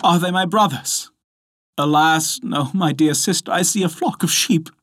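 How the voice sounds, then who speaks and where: A man's voice: on the high side, moderate pace, a bit bright, no echo, clean studio sound, pitched above the performer's own voice. A man voicing an earnest young woman keeping watch in a storybook tale. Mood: anxious. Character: watchful young woman, sister calling from tower.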